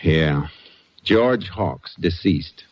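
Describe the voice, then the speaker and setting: A man acting a steady, shrewd Texas Ranger investigator in an old radio drama, clipped, matter-of-fact and folksy. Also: rumbling low, very slow, full character voice. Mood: thoughtful. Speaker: lead actor and narrator. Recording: dull old radio recording.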